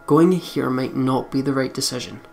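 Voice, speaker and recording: A let's play commentator with a medium-pitched voice reads a visual novel aloud over game music. The narration is expressive and story-like, broken up by casual, sweary and joking remarks. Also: normal speed, gravelly. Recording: music behind the voice.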